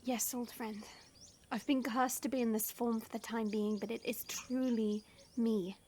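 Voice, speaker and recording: A woman's voice: on the high side, steady tone, a little slow, dark tone, a little hiss or hum, lightly acted. A woman playing a proud, determined dragon princess cursed into human form in a fantasy audio drama, earnest and regal. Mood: pleading, solemn. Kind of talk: fantasy audio roleplay acting.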